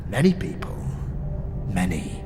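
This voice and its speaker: A man playing a sly, greedy old corpse collector in a fantasy audio drama, gravelly and breathy, with theatrical, taunting speech that drops to whispers. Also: sits low, wildly swooping pitch, over music, full character voice. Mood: morbid, sly. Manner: theatrical, drawn-out, taunting speech with archaic slang. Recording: long cavernous reverb.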